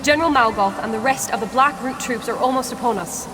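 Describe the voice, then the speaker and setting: A woman's voice: on the high side, fast talker, lightly acted. A woman playing a frightened young elf villager in a fantasy video game, urgent and pleading. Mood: alarmed. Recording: clear background noise.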